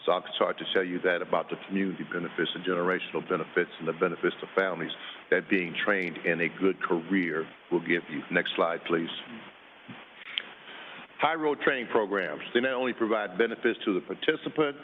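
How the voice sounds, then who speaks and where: Man panelist, very deep voice, loud and confident, hissy phone-quality line. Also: brisk. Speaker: union campaign lead, panelist. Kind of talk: presentation with slides. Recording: tinny phone-like line with hiss.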